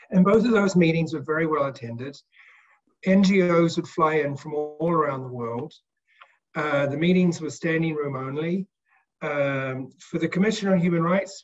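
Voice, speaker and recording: A man speaking on an online panel, recollecting in a measured, halting way with repeated words, over video call audio. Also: a little high, highs cut off. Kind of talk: reflective recollection in a panel discussion. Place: indoor room, video call. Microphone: video call microphone.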